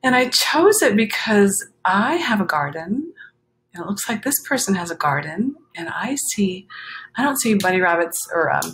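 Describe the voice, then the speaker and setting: A woman reading a children's picture book aloud, expressive, with chatty explanatory asides to young listeners. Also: fairly low pitch, gravelly, slight background noise, poor audio.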